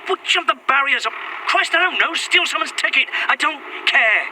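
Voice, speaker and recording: A man's voice: very high voice, lively intonation, moderate pace. A man acting an irritable, sweary corporate executive at breaking point in a found-footage audio drama, snappish and sarcastic. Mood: frantic, snappish. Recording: faint noise, found-footage recorder.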